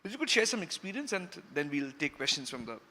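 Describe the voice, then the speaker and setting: Male moderator, bright, slightly high voice, halting questions, long hall reverb. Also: sing-song. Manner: halting, many fillers and restarts. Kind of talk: panel moderation.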